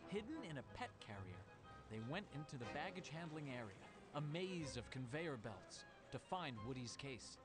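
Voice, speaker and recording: A man's voice: medium pitch, very animated, normal speed. A man reading a children's story as a dramatic narrator. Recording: music under the voice. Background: loud music.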